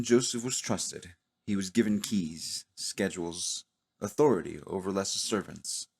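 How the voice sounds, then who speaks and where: A man narrating a cinematic audio drama in a solemn, dramatic manner with deliberate pauses. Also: low voice, breathy edge. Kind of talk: slow cinematic scripted narration.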